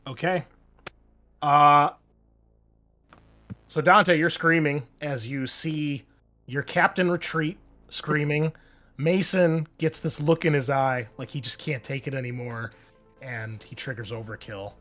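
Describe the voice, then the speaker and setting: A man's voice: fairly high pitch, fairly even intonation, slow pace, pauses often, bright, forceful tone, faint music behind. A man acting as game master, narrating the action and talking through rules casually in a tabletop roleplaying session.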